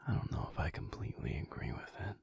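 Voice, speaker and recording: A man playing a calm, brooding alpha werewolf pack leader in an intimate audio roleplay, speaking thoughtfully in a breathy, gravelly near-whisper with halting pauses. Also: natural voice. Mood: wary, deliberate. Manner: slow, halting, pensive delivery.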